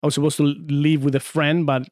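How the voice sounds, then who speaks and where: A man's voice: on the high side, flat delivery, brisk, a little mellow, no echo. A man in his forties from Argentina, a podcast guest chatting casually in a studio, telling stories with many fillers and restarts. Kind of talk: casual chat.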